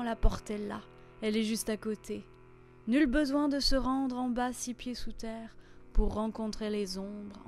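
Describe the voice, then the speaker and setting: Woman solemnly reciting poetry, higher-pitched, over music. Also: mellow. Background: instrumental music.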